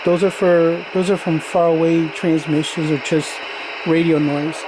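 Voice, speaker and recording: A man's voice: a little high, flat delivery, a little slow. A man giving a casual, plain-spoken, step-by-step demonstration of radio gear, like a hobbyist showing how it works. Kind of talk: casual hands-on product demonstration. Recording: noisy recording with radio static. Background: radio static and background noise.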